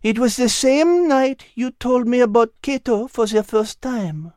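A narrator voicing a gentle, earnest female cat with a French accent in an audiobook. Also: mid-range voice, a little slow, dry recording, studio quality, pitched above the performer's own voice, close to an everyday voice. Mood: somber.

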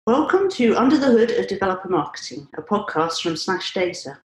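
A woman hosting a podcast, speaking politely and in a measured way, with a few hesitations. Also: medium pitch, very animated, reverberant, low-quality recording. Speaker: podcast host, analyst.

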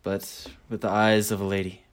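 A man playing a sincere, lovesick young gentleman in a Shakespearean radio play. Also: lowish voice, level tone, moderate pace, natural voice. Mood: lovesick, wistful.

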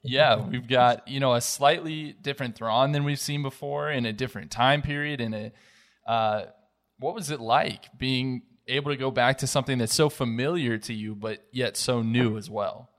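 A man's voice: medium pitch, normal speed, a bit bright. A man hosting a podcast, leading into questions in a conversational interviewing style. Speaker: podcast host.

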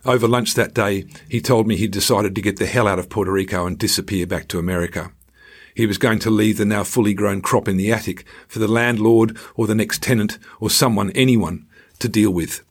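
A man reading his own scripted travel memoir in a measured storytelling manner with dry irony. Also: fairly low pitch, steady tone, husky.

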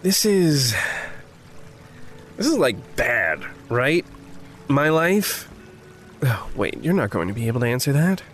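An actor playing an evasive, dramatic man in distress in an audio drama, with stilted formal phrasing that turns halting. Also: medium pitch, very animated, a bit bright, soft background music. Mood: hesitant, troubled. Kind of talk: fiction podcast acting, naturalistic dialogue.

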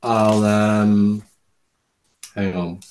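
A man from Cape Town with a South African accent, a poet speaking casually in an interview and reading his own work, halting and hesitant with many fillers. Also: fairly low pitch, level tone, a little mellow. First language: Afrikaans. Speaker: poet, guest.

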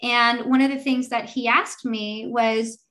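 A woman in her thirties, a venture fund partner, answering interview questions in a talkative, animated way. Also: fairly high pitch, unhurried.

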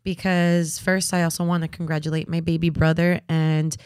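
Low-voiced laughing woman podcaster. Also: flat delivery, normal speed, a little mellow, clear, resonant tone, no echo, clean studio sound. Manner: laughs often, playful and chatty. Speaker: podcast co-host. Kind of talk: casual chat.

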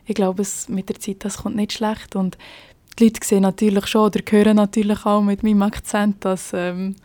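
Young Swiss German woman, flat voice. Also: mid-range voice, normal speed, no echo. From Switzerland. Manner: hesitant, many fillers. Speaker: police officer. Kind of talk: podcast interview.

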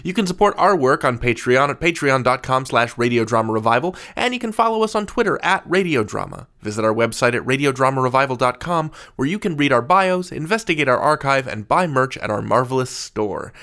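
A man's voice: mid-range voice, lively intonation, brisk, few pauses, bright, forceful tone. A man hosting an interview podcast in a conversational, presenterly style. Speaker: podcast host. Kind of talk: friendly interview chat and scripted outro.

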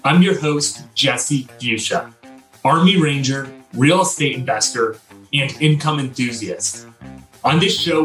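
A man's voice: mid-range voice, fairly bright tone, recorded from a distance. Enthusiastic male podcast host, roomy sound. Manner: enthusiastic, emphatic. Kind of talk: podcast intro and interview chat.

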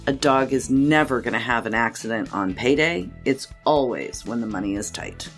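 A woman's voice: a bass voice, normal speed, soft background music. A woman hosting a dog podcast, talking in an effusive, emphatic way and laughing often. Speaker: podcast host. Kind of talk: enthusiastic podcast chat.

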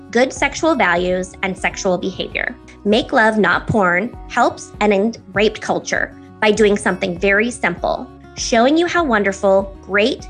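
A woman hosting a podcast, upbeat and enthusiastic as she introduces and questions a guest. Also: medium pitch. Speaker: podcast host.

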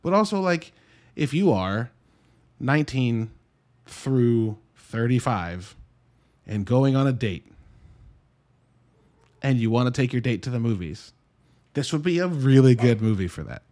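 Male podcast host, early thirties, mid-pitched voice. Informal solo reviewer style, meandering and joking, unpolished and salty. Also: expressive, measured pace, pauses often, a little mellow. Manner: rambling, informal and profane, joking asides.

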